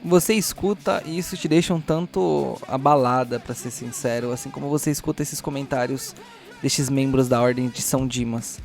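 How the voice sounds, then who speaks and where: A man's voice: mid-range voice, normal speed, creaky, a little mellow, faint music behind. A man narrating a tabletop roleplaying game as game master, improvising scenes and addressing the players.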